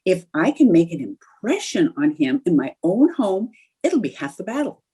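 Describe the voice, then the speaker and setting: A woman playing an eager, scheming, chatty young housewife in an old-time radio comedy, with a husky voice. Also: lowish voice, very animated, normal speed, warm tone, heavily acted. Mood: eager, conspiratorial. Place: indoors, remote video call.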